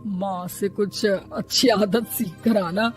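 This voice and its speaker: A woman voicing a scolding, sarcastic older mother-in-law in a moral story, sharp-tongued and nagging. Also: medium pitch, steady tone, slow, faint music behind, natural voice. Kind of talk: animated moral story voice acting.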